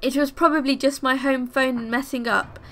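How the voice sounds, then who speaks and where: Bright, high-voiced woman narrating scary stories. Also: steady tone, moderate pace. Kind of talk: scripted narration, first-person horror stories read aloud.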